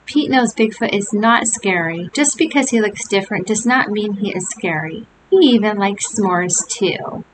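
An older woman reading a picture book aloud to young children, with gentle chatty asides. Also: mid-range voice, unhurried, few pauses, poor audio. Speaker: storybook reader. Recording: home recording with steady hiss.

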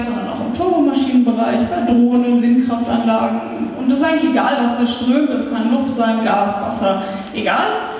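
A high-pitched woman with a light lilt speaks into a handheld microphone on a meetup stage. She talks in a lively, chatty way, full of little filler sounds. The recording is taken from across a large hall, so her voice rings with heavy echo and a long tail. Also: slight background noise. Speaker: startup founder giving a talk. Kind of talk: conference talk. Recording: echoey hall, taped from far off.

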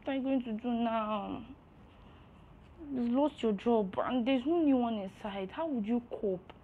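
Female, highish voice, Nigerian accent: blunt, exasperated best friend, faint ambient noise. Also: unhurried, narrow, tinny audio. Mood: worried. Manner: blunt, chiding. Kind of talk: screen drama acting.

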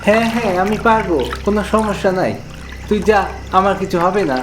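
Man putting on a high-pitched naive younger brother. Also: moderate pace, background noise, lightly acted. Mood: eager, reassuring. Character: naive, eager younger brother in a ghost story. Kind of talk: animated horror story character dialogue.

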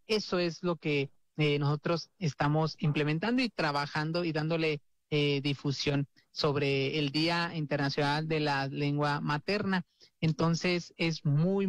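A woman working as a sign language interpreter, voicing live in the first person with a halting delivery full of fillers and restarts. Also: deep voice, dry recording.

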